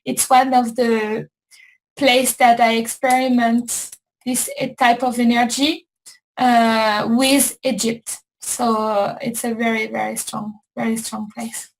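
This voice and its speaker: Woman, high voice, unhurried, repeats words, hosting. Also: level tone, low-quality recording. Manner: slow, repetitive, searching for words. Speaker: host. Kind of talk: casual chat.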